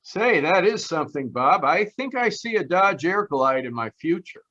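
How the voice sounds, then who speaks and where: Man acting a bright-voiced gushing radio-ad fellow. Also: high voice, big pitch swings, moderate pace, exaggerated voice. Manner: folksy, earnest gushing. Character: bashful, gushing, lovestruck fellow in a radio advert. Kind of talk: 1930s radio commercial acting. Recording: slightly dull, lo-fi connection.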